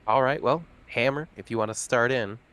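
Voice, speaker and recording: A man playing his character in a tabletop game, speaking in a calm, dry way. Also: medium pitch, normal speed, smooth. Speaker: player.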